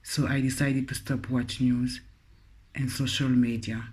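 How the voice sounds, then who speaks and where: A man from Congo, an artist and poet speaking slowly and emotionally about his experience. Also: mid-range voice, little pitch movement, a little mellow, breathy edge. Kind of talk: reflective personal account with poem reading.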